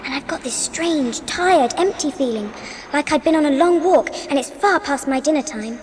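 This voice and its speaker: A woman's voice: high voice, moderate pace, slight background noise, natural voice. A woman playing an earnest, sensitive and slightly bossy English schoolgirl, an older sister, in a dramatised audiobook. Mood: earnest, confused. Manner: earnest, expressive.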